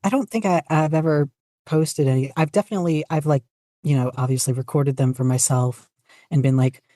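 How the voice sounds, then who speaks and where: A woman's voice: sits low, fairly quick, mellow, no room sound, clean studio sound. A woman speaking as a podcast guest in a casual, self-deprecating, informal way. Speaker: podcast guest, fanfiction writer and podficcer. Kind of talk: casual interview chat.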